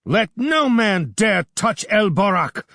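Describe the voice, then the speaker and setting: A man's voice: high voice, very animated, slow pace, vocal fry, pushed and bright, no echo, clean studio sound, voice pushed up, exaggerated voice. A man voicing a proud, fierce young warrior who speaks wrathfully and defiantly.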